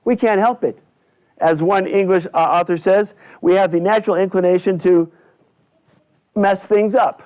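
A male pastor delivering a church sermon in deliberate, emphatic short phrases with repetition. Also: sits high. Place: indoors in a church at a lectern. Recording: dull, slightly lo-fi church recording.

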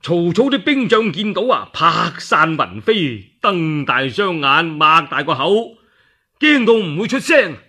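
A male Cantonese radio storyteller with a high, forceful voice and a husky edge. He narrates in a colloquial, theatrical way, stressing key words for drama. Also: very animated, measured pace.

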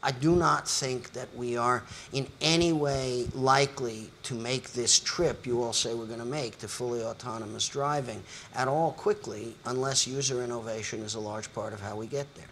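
A man's voice: medium pitch, moderate pace, hardly any pauses, fairly bright tone, a little hiss or hum. An older man moderating a panel discussion in a lecture hall, speaking in long, articulate, lecturing sentences.

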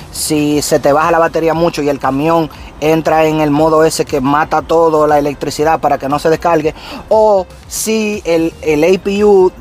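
A man in his thirties, a trucker vlogger, explaining step by step straight to his viewers from the cab of his parked truck. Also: fairly high pitch. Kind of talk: casual how-to vlog. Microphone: camera on the dashboard facing him.

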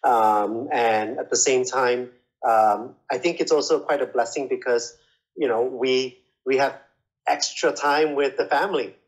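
Male interviewee, mid-range voice, conversational explainer with halting, repetitive phrasing. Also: mid-range voice, rough recording. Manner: halting, repeats words while explaining. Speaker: interviewee, language school manager. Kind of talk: casual explanatory interview answers.

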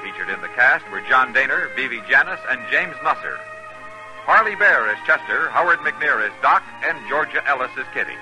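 A man's voice: medium pitch, expressive, normal speed, low-quality recording. An American man announcing in scripted 1950s radio style. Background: faint music.

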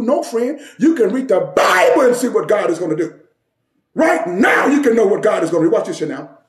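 A man preaching a fiery sermon, often shouting and calling out "Huh?" to the congregation. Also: high-pitched, reverberant.

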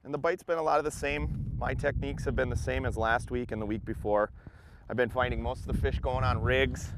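A man's voice: lowish voice, noisy background. A man in his forties, a fishing guide, giving an upbeat, chatty report to camera from an open boat on a lake, recorded on a lapel mic. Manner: upbeat, chatty, explaining as he fishes. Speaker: fishing guide and show host.

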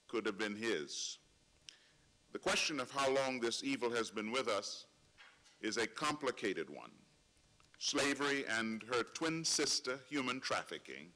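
Solemn, bright-voiced man giving a speech. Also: fairly low pitch, low-quality recording. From Trinidad. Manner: measured, solemn oratory with slow emphatic pauses. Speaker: conference speaker. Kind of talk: prepared speech. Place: large conference hall, seated at a panel table. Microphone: table microphone.

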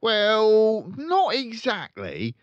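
A man's voice: high voice, huge pitch swings, drawn-out words, dry recording, dull audio, pitched above the performer's own voice, full character voice. A man voicing a boastful, bullying, hot-tempered father in a storybook, blustering and pompous. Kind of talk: storybook read-aloud character voice.

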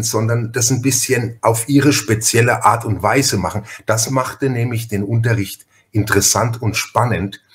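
A middle-aged man, chatting casually and emphatically as a podcast host. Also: on the low side. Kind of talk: casual chat.

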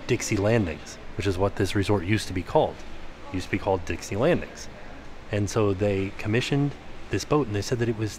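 A man's voice: fairly low pitch, slight background noise. A man giving a casual, curious walking-tour commentary while filming on a handheld camera. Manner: chatty, curious, thinking aloud. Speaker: vlogger touring a resort. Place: indoor restaurant hall and outdoor resort grounds.

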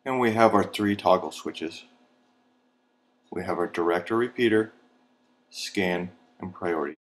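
A man's voice: low-pitched, a little slow, slight background noise. A man reading scripted instructional narration in a plain, step-by-step, procedural manner.

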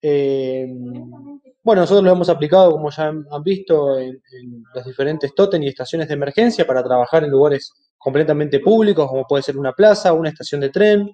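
A middle-aged man with an Argentine Spanish accent explaining products in a webinar, in a halting, explanatory way. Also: fairly high pitch, dark tone, highs cut off. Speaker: webinar presenter. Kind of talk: product presentation over slides.

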